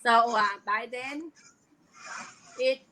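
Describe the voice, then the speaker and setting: A middle-aged woman from Vietnam with a Vietnamese accent, earnestly telling her story as an interview guest, often pausing on fillers. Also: a little high, background noise. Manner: earnest, hesitant with many fillers. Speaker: guest, online business coach.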